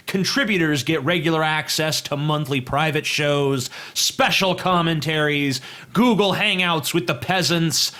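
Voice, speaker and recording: A man with a bright, slightly high voice delivers a scripted podcast introduction. He speaks formally and in measured fashion, as the show's announcer.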